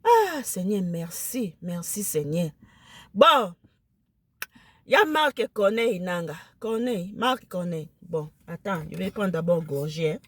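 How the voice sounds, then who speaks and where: A woman with a Lingala accent, a native Lingala speaker, talking casually to camera, emphatic and excited, switching into French. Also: fairly low pitch, takes it slowly. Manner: emphatic, excited, mixes French into her speech.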